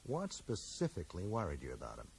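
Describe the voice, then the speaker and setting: Low-voiced, quick, probing man. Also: huge pitch swings, vocal fry, breathy edge, exaggerated voice. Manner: questioning, measured, courteous. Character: probing, polite bookseller investigating a stranger's death. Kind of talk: 1980s radio drama acting. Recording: slightly noisy old radio broadcast recording.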